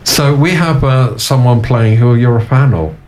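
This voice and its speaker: A man's voice: medium pitch, unhurried, a little mellow, slight background noise, rough recording. A man hosting a casual radio show from a venue studio, rambling and making puns. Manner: rambling, punning, chuckly. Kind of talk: casual on-air chat. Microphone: omnidirectional studio mics.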